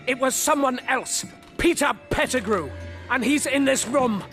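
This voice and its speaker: A man playing a vengeful, wronged middle-aged fugitive wizard in a video game, speaking with slow, deliberate intensity. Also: very high voice. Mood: intense, bitter. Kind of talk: dramatic video game acting. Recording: loud music over the voice.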